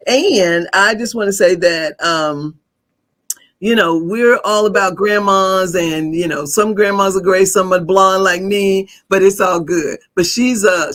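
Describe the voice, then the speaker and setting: A woman's voice: mid-range voice, a bit bright. A woman hosting a podcast in a casual, effusive way, laughing often. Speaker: podcast host. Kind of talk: casual chat.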